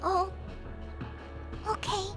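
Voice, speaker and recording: A woman's voice: very slow, music in the background. A woman voicing a timid, tearful little girl in a visual novel, small, hesitant and fearful. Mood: frightened, timid.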